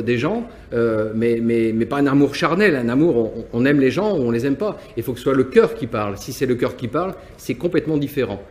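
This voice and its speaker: A man's voice: mid-range voice, darkish tone. A man from Nantes who runs a company, answering interview questions hesitantly with many restarts and repeated words. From France, Nantes. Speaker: business owner. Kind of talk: interview answers, personal reflection.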